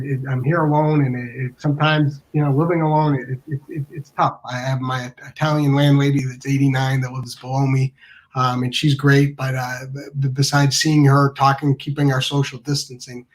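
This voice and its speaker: A man telling a personal story informally, in a halting way with repeated words. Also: medium pitch, rough recording.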